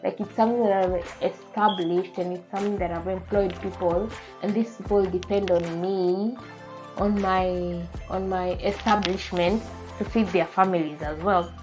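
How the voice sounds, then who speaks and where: A woman's voice: lowish voice, steady tone, normal speed, over music, poor audio. A woman in her twenties with a Kenyan accent, chatting casually to her viewers as a beauty and lifestyle vlogger in an earnest, emphatic manner. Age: 20s. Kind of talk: casual chat to camera.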